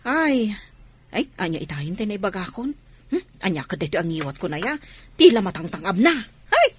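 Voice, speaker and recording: A woman's voice: mid-range voice, sing-song, a little fast, husky. A woman playing an outspoken, nosy, loyal household helper in an Ilocano audio drama, exclaiming and scolding. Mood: scolding, prodding. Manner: exclamatory, scolding, repeats words.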